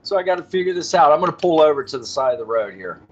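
A man's voice: on the high side, lively intonation, racing, highs cut off. A man calling in from a car as a podcast guest, chatting casually in a jokey, wandering way. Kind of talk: casual rambling storytelling and chat.

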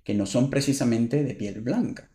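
A man in his thirties with a Venezuelan accent, talking casually as a podcast co-host. His voice sits mid-range and picks up speed in lively bursts when a story amuses him. The room around him sounds echoey. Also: mid-range voice, normal speed. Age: 30s. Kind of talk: casual chat.

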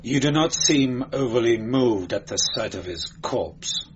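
A man's voice: mid-range voice, animated, measured pace, a little hiss or hum, lightly acted. A man reads as a stern inquisitor in an adventure audiobook. His questions are clipped and brusque, with a weary edge. The recording is slightly dull. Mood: probing. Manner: snapping, brusque.

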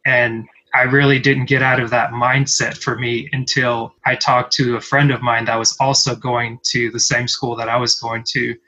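A man's voice: medium pitch, little pitch movement, normal speed, few pauses, sharp tone, rough recording. A man from Cameroon hosting a podcast, telling long rambling stories and acting out what people said. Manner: rambling storyteller, many "like" fillers, acts out quotes. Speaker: podcast host. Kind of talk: casual conversation.

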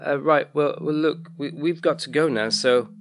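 A man's voice: mid-range voice, normal speed, voice pushed up, lightly acted. A storybook schoolboy, acted out by a man, urgent and frightened, stumbling over his words as he questions everything. His voice sits well above the adult register, over noticeable background noise. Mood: nervous. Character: frightened, stammering, contradictory schoolboy called Daniel.

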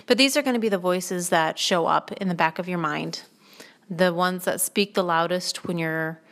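A woman with a soothing, slightly low voice talks alone into her podcast mic. She reflects on her life in a calm, thoughtful way, often stopping to collect her words. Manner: thoughtful, pausing often with ums. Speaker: podcast host. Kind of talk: reflective spoken monologue.

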